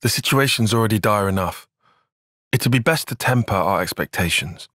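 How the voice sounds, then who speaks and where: Young tactician, fantasy video game, analytical, articulate, husky edge, lively intonation, close dry sound. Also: male voice, on the low side, moderate pace, dry recording. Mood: serious, analytical. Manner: precise, formal, articulate phrasing. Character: earnest, analytical young male soldier and strategist in a fantasy game. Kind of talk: video game cutscene acting.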